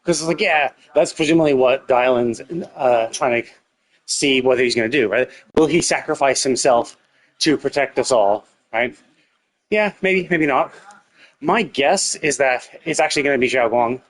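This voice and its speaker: A man's voice: medium pitch, normal speed. Man musing over a game. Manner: speculative, repeats "like" and "right?". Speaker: game livestreamer. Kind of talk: casual live game commentary. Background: game music.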